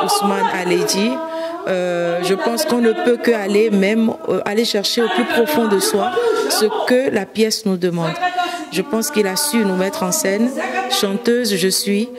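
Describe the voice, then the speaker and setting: A woman's voice: medium pitch, lively intonation, unhurried. A woman from Benin, a singer and percussionist, answering questions in a reflective way and repeating herself at times. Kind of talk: interview answers. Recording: music playing behind.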